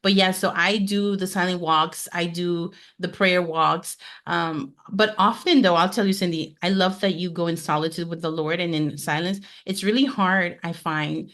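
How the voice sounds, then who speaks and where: A woman's voice: on the low side, fairly even intonation, a bit bright. A woman in her forties, originally from Peru, speaking as a guest coach in an earnest, conversational way as she shares her faith story. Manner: earnest, goal-driven, quick storytelling. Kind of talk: conversational testimony.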